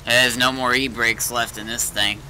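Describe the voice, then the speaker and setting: Very bright, sharp-voiced man gaming. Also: mid-range voice, little pitch movement, moderate pace, a little hiss or hum, poor audio. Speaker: roleplay gamer. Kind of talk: casual in-game chat.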